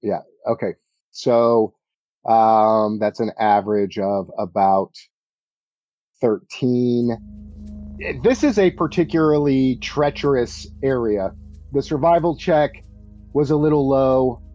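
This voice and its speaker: A man running a tabletop game as game master, narrating casually in a halting, think-as-he-goes way. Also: medium pitch, mellow, dry recording. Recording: dull lo-fi audio, music underneath. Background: background music.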